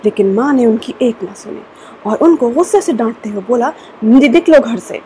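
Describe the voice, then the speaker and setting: A woman telling a children's story, expressive and acting out the lines, in a room at home. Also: on the high side, normal speed, a little hiss or hum, low-quality recording. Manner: expressive, acts out characters. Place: indoor room with sofa.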